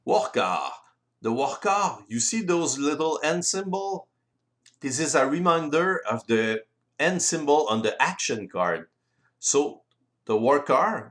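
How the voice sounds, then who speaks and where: Male game-rules presenter, native French speaker with French accent, explanatory delivery, slightly halting phrasing, mid-range voice. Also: medium pitch, fairly bright tone. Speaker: board game designer and presenter. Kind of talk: explanatory tutorial voice-over.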